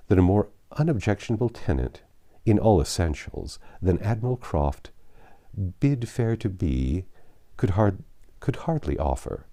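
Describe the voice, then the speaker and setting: Low-voiced man narrating, very animated. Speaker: audiobook narrator. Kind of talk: expressive scripted narration of a classic novel.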